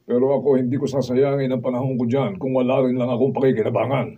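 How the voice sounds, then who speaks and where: A man's voice: mid-range voice, steady tone, quick pace, soft background music, lightly acted. A man playing a self-serving, argumentative businessman father in a Filipino radio drama. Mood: scoffing, insistent. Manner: argumentative, scoffing. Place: drama recording room, behind-the-scenes camera. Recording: muffled, noisy, distant room recording.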